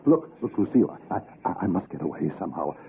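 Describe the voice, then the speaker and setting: An actor playing a frightened, desperate middle-aged husband, stammering in an old radio suspense drama. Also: male voice, low voice, very animated, quick pace, creaky, phone-quality sound, full character voice. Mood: panicked disgust. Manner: stammering, breaking off anxiously. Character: frightened, pleading, aging husband trapped and desperate. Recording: thin, noisy 1960s radio recording.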